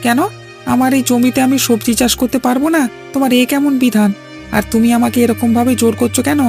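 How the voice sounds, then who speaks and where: Fast-talking defiant village farmer played by a woman. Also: on the high side, fairly even intonation, vocal fry, darkish tone, soft background music. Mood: defiant, indignant. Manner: scolding, argumentative, emphatic. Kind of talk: Bengali cartoon dialogue.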